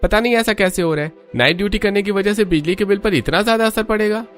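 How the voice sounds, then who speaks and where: A man voicing a trusting, puzzled young neighbour in a cartoon, expressive in manner. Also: sits high, fast talker, faint music behind. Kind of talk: cartoon voice acting.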